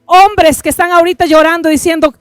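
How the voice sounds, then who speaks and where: A woman's voice: very high voice, steady tone, no room sound, studio quality, soft background music. A woman preaching an impassioned sermon and offering appeal, pressing her listeners and often breaking in with "listen to me". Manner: impassioned, repeats "listen to me", swings between rushed and drawn-out phrases.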